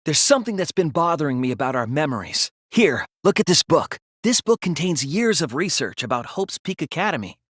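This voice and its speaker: A thoughtful teenage male detective from a video game, reasons aloud with earnest resolve. His voice is breathy and very dry in the recording, with lively swings of pitch as he deliberately builds and presses each deduction. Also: no echo, full character voice. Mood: measured, earnest. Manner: deliberate, reasoning aloud, emphatic when refuting. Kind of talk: English video game voice acting, dramatic deduction scenes.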